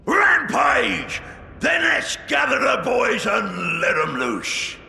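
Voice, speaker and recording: Very gravelly, rowdy ork fighter. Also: male voice, extremely high pitch, sing-song, slow pace, pushed and bright, roomy sound, raised voice, in a large hall, heavily acted. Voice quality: very gravelly, harsh. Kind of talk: video game character acting.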